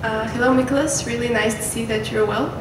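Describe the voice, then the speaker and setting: A woman's voice: on the high side, steady tone, a little fast. A woman from Bulgaria asking questions as a journalist at a press conference. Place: echoey indoor press conference room. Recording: echoey, distant, noisy room.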